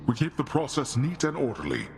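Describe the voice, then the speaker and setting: A stern underworld overseer for a game, measured, big echoing hall, faint music. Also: male voice, mid-range voice, wildly swooping pitch, gravelly. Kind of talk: video game character dialogue. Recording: long cavernous reverb.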